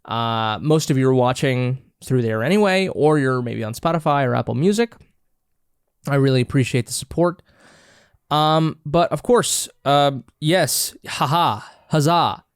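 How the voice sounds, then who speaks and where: A man's voice: mid-range voice, unhurried, smooth, no echo, clean studio sound. Congested man rambling over snacks. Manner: rambling, hesitant, many restarts and fillers. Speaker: podcast host. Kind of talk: casual solo chat while snacking. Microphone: own camera and mic, close and dry.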